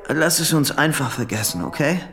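A man's voice: a little high, expressive, moderate pace, a bit bright. Obsessive, lovesick young man, swinging intonation. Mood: guarded, polite. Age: young adult. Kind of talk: radio play acting.

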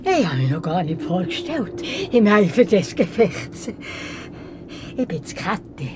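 A woman's voice: on the low side, big pitch swings, unhurried. A woman playing a small, fit old mountain woman with a Swiss accent, mothering, eerily cheerful and fussing with little interjections in a radio play. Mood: cosy, mothering. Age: older.

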